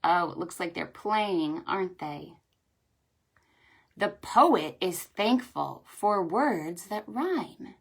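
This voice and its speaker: A woman leading a children's storytime, speaking in an animated sing-song way with exclamations and questions for young listeners. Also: on the low side, takes it slowly, vocal fry, breathy edge. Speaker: children's librarian hosting storytime. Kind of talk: children's storytime with read-aloud and pretend play.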